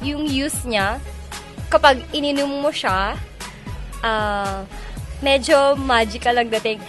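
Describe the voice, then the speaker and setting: A young Filipino woman with a high voice, chatting as a guest on campus radio. She is polite and giggly, with a Filipino accent, and music plays under her talk. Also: takes it slowly, a bit bright. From Philippines, Cagayan province. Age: young adult. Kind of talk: casual radio chat. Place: radio studio. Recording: music bed behind voice.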